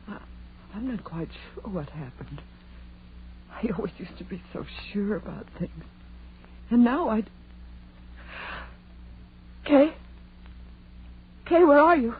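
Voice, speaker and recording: An actress playing a frightened, pleading young woman in an old-time radio horror drama, anxious and imploring. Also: medium pitch. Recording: tinny, noisy vintage radio recording.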